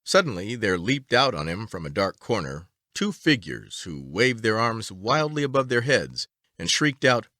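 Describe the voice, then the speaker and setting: A man reading a story aloud as an audiobook narrator, with a measured, expressive storytelling delivery. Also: fairly low pitch, no echo, clean studio sound.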